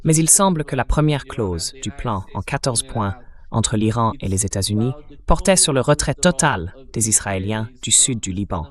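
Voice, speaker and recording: A man's voice: on the low side, lively intonation, normal speed. A synthetic male voice hosting an interview, setting out the news as a narrated summary. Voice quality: synthetic text-to-speech voice. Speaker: interview host.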